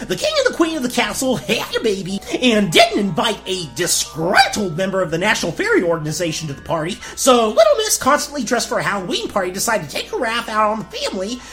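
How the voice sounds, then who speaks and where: A woman's voice: medium pitch, a bit bright. A woman voicing a kooky, flirtatious fairy in a gag-dub cartoon radio play, chatty and gushing with giggly, colloquial asides.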